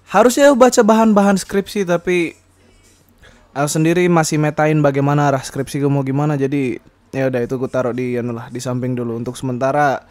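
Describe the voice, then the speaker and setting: A man's voice: mid-range voice, steady tone, fast talker, a little mellow. A young man hosting a casual podcast, rambling with many fillers and self-corrections and laughing often. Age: young adult. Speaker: podcast host. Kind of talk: casual solo podcast chat.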